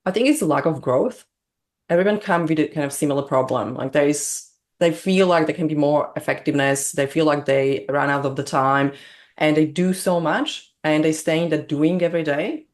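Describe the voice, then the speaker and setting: A woman from Slovakia with a Slovak accent, speaking English as a second language as a guest on an interview, bubbly and quick to laugh. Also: low-pitched, a little mellow. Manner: bubbly, laughs often, non-native grammar. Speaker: business coach, guest. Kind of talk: casual interview chat.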